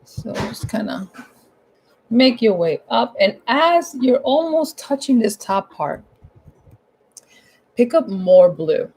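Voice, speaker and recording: A woman with a hoarse, husky voice giving a casual, chatty step-by-step painting tutorial at home, repeating her instructions in informal language. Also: on the high side, sing-song, slow pace, long pauses, a little mellow, rough recording.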